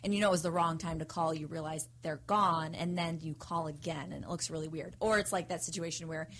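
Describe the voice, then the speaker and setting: A woman with a slightly low voice talks as a podcast panelist. She shares advice and anecdotes casually, with a faint hum behind her. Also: fairly even intonation, brisk, a bit bright.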